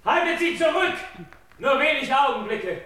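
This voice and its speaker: An actor playing a loyal young warrior calling out battle orders in a classical radio drama, in a very echoey space far from the mic. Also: male voice, very high voice, big pitch swings, normal speed, sharp tone, full character voice. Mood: urgent, commanding.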